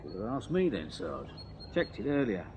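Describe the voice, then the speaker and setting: A plain-spoken Victorian constable. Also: male voice, on the low side, big pitch swings, moderate pace, background noise. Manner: plain, working-class speech. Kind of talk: radio drama acting.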